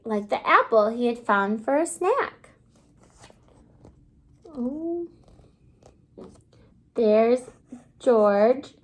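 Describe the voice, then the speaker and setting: A woman kindergarten teacher reading a storybook aloud to young children in an exaggerated, child-directed way, pausing to ask simple questions. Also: a little high, a little mellow. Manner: asks simple questions, pausing as if listeners answer.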